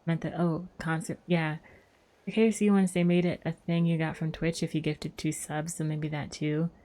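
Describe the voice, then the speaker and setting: A woman's voice: deep voice, little pitch movement, moderate pace, warm tone. A woman livestreaming a game, chatting casually and musing aloud in a rambling way. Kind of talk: casual chat while gaming. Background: game music.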